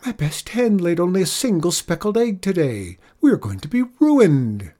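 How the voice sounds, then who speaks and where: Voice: medium pitch, big pitch swings, moderate pace, warm tone, pitched above the performer's own voice. A storyteller voicing an anxious, melodramatic farmer who wails and groans about doom, in a children's story. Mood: wailing despair. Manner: wailing, groaning, dramatic.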